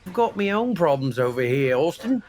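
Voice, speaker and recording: A man's voice: on the high side, sing-song, normal speed, darkish tone. Brash teasing man hosting a podcast. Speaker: podcast host. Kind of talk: comedic podcast banter. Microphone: studio mic.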